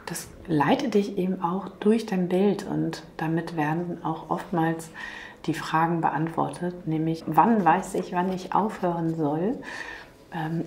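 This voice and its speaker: Hoarse lady painter chatting softly, low mellow voice, kinda whispery. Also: slight background noise. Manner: soft, often half-whispered, gentle. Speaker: painting channel host. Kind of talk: calm chatty vlog talk.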